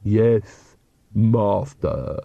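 A man's voice: lowish voice, lively intonation, very slow, creaky, no echo, narrow, tinny audio, heavily acted. A man voicing a servile, old-fashioned Gothic manservant to vampires in an audiobook, dutiful, with affected speech.

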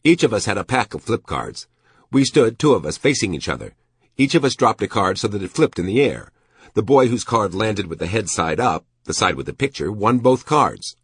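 A man's voice: on the low side, sing-song, normal speed, slightly rough, no room sound, pitched above the performer's own voice. A man voicing a fussy, nostalgic male antiques dealer in an audiobook, speaking emphatically.

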